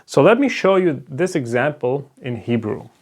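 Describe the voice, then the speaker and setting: A man's voice: medium pitch, very animated, moderate pace, a little mellow. A man with a German accent, a native German speaker, patiently teaching step by step and checking in with "right?". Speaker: Hebrew teacher. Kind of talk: slow explanatory lesson at a board.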